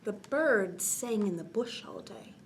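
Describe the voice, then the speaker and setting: Slow, hushed woman reading bedtime stories. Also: mid-range voice, a little hiss or hum. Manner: gentle, expressive, often dropping to a hushed near-whisper. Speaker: storytime host reading a picture book. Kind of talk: children's bedtime story read-aloud with casual asides.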